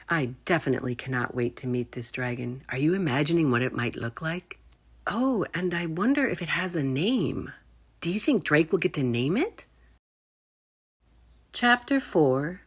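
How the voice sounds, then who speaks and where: A woman storytime reader with a low voice and very animated intonation. She narrates for children at an unhurried pace and addresses her listeners directly. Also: husky. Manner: engaging listeners with asides. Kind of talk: expressive read-aloud narration for children.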